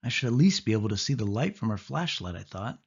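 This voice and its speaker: A man's voice: on the low side, quick pace, creaky, slightly rough. Dull lo-fi male storybook narrator. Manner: expressive, suspenseful delivery with acted exclamations. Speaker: audiobook narrator. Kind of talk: dramatic storybook narration in first person.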